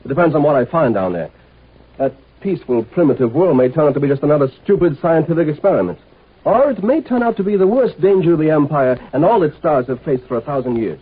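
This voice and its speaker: An actor playing a curt, commanding galactic marshal in a 1950s radio drama, impatient and authoritative. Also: male voice, on the high side, lively intonation, quick pace, breathy edge. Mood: brusque, impatient. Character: brusque, commanding male galactic military marshal. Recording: noisy, tinny 1950s radio recording.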